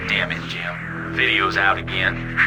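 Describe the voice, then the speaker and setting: Low-voiced, grumbling space crewman. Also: fairly even intonation, a little slow, loud music behind the voice. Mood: exasperated. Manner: muttering, cursing complaints. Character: grumbling, irritable male space salvage crewman. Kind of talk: video game cinematic acting.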